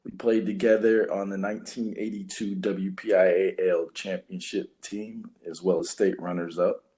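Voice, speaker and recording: Man, podcast co-host, very low voice, conversational, from western Pennsylvania. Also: steady tone, moderate pace, mellow. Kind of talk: casual interview hosting.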